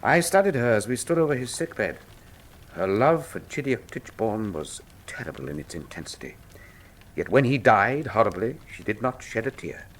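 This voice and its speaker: An actor playing a brilliant, cool Victorian detective, speaking formally and with dry wit in a radio drama. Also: male voice, on the low side, big pitch swings, moderate pace, slight background noise, low-quality recording. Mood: dry, analytical. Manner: precise, formal, dryly witty. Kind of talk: full-cast radio drama acting.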